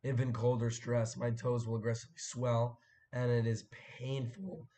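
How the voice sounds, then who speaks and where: A young man hosting a casual live stream, his voice hoarse and cracking after a sore throat, rambling and clearing his throat as he chats with viewers. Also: mid-range voice, level tone, moderate pace, dark tone. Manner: rambling, chatty, frequent fillers and throat clearing.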